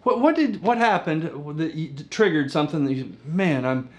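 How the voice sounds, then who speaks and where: A man in his forties hosting a studio interview, chatty and quick to laugh, asking long rambling questions into a lapel mic. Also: on the high side, sing-song. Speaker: interview host. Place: small TV studio with green screen.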